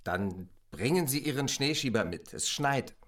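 An unflappable engineer in a radio drama, played by a male actor. He is heard at some distance, dry and instructing, with a mid-pitched voice that moves animatedly. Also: lively intonation, unhurried, over loud music, natural voice. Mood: dry, insistent. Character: dry, unflappable male engineer rousing his helper for work. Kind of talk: German radio drama acting. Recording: voice distant from the microphone.

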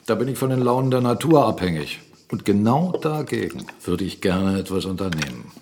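An actor playing a proud, brusque mill owner and captain in a radio drama, boastful and quick to argue. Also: male voice, lowish voice, sing-song, darkish tone, slight background noise. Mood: defiant. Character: blunt, proud mill-owning captain, a gruff businessman.

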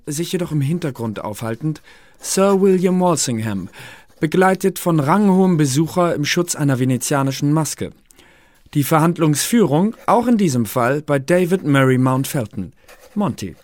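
A fussy court clerk reading records aloud in a radio drama. The voice is fairly high for a man and swoops in pitch. Each phrase is precise, officious and mannered. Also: no room sound. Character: fussy, formal male clerk keeping official minutes.

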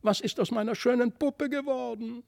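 A man voicing a sad, tender little girl with drawn-out, plaintive phrasing, in a storybook reading. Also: very high voice, steady tone, vocal fry, darkish tone, pitched above the performer's own voice.

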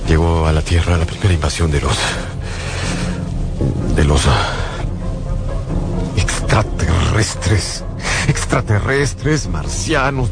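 An actor playing an agitated male survivor recording his testimony in a radio drama, halting, indignant and emphatic. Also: rumbling low. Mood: grave, recounting. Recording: loud music behind the voice.

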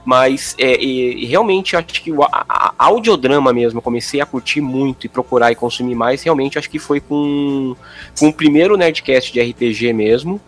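A man with a Brazilian accent in casual podcast chat, talking haltingly and circling back mid-sentence. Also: medium pitch. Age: over 28. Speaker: podcast panelist. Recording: faint music behind the voice. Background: faint music.

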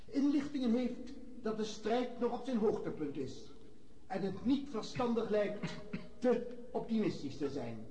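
An actor playing a solemn Russian tsar in a radio drama, making a measured, formal proclamation to his people. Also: high voice, very animated, mellow. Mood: solemn, proud. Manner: measured, stately. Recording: echoey room with clear noise.

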